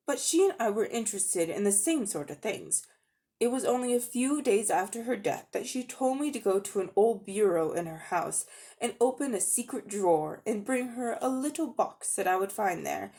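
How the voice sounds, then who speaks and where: A woman's voice: mid-range voice, a little mellow, natural voice. A woman voicing a pompous, self-important older uncle who dabbles in magic, with a grandiose, condescending manner, in a storybook reading.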